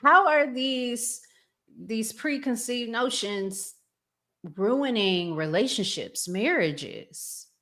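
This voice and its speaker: A woman hosting a podcast in a casual, reflective way, deliberately repeating phrases as she forms each thought. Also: a little high, expressive, bright, forceful tone. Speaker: podcast host.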